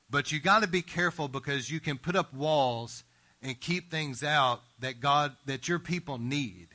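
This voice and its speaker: A pastor preaching an earnest, emphatic sermon, often checking in with the congregation. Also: male voice, mid-range voice, sharp tone. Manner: earnest, emphatic preaching with frequent "Amen?" asides.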